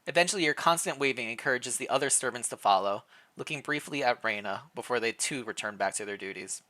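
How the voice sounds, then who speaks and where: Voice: medium pitch, animated, fast, sharp tone. A streamer reading interactive fan fiction aloud, with playful asides between the narration. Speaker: streamer, reader.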